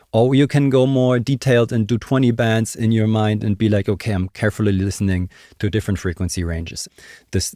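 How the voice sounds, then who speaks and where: A man's voice: low-pitched, darkish tone, no echo, studio quality. A man in his thirties giving a casual, conversational music production tutorial, explaining as he thinks aloud. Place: small indoor home studio. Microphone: desk microphone close up.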